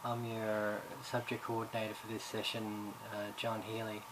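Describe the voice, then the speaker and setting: A man's voice: lowish voice, completely flat delivery, moderate pace. A man from Ireland, raised in Australia, giving a recorded course introduction as subject coordinator, talking hesitantly with many fillers and restarts. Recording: background noise.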